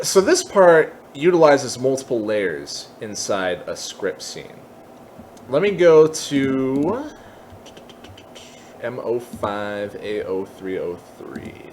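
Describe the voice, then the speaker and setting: A man gives an informal tutorial walkthrough, explaining each step as he goes. His pitch moves around a lot, and he often reasons out loud, admitting what he does not know. There is faint noise behind his voice. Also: huge pitch swings, very slow, pauses often. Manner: thinking aloud, openly tentative about details. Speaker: tutorial presenter.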